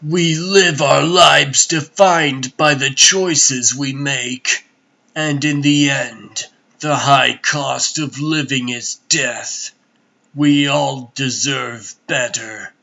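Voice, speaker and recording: Comic-dub tyrant played by a man: brooding god-emperor, forceful bright tone, very slow grave delivery. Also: mid-range voice, fairly even intonation, gravelly, exaggerated voice. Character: imperious, brooding male god-emperor and stern father. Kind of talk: dramatic comic dub acting.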